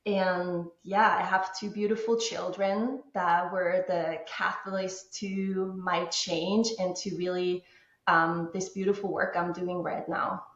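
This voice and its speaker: A woman from Austria with an Austrian German accent, German her first language, calmly telling her story as a podcast guest from a home office. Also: on the low side, slow, echoey room, lo-fi sound. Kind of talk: podcast interview.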